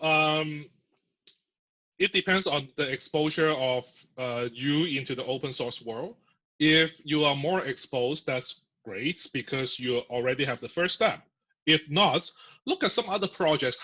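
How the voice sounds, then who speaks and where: A man who is a software engineer, a guest in a casual podcast interview, explaining ideas in a chatty way with lots of fillers and laughs. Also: on the high side, measured pace. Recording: muffled lo-fi audio.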